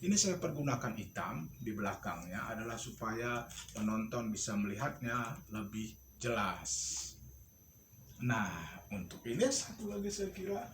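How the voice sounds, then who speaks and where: A man's voice: mid-range voice, animated, unhurried, fairly bright tone. A man giving an informal storytelling tutorial, instructive and thinking aloud as he shows objects. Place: indoor home studio. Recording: echoey room with clear background noise.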